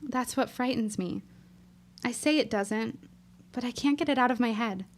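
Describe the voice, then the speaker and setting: A woman's voice: on the high side, normal speed, lightly acted. A woman playing an earnest, determined young woman of the 1910s, speaking thoughtfully in a period audio drama. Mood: anxious.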